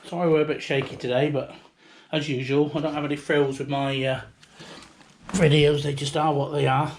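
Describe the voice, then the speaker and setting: A man's voice: on the high side, level tone, normal speed, slight background noise. A British man in his fifties giving a chatty, unpolished, rambling show-and-tell as a hobbyist, recorded outdoors on a handheld device. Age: 50s. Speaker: hobbyist video maker. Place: outdoors beside a house, breezy. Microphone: handheld camera or phone.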